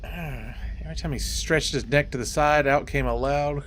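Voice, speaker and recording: A man's voice: a little high, normal speed, slight background noise. A man hosting a horror game, mixing playful, wisecracking commentary with story reading. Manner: animated, wisecracking.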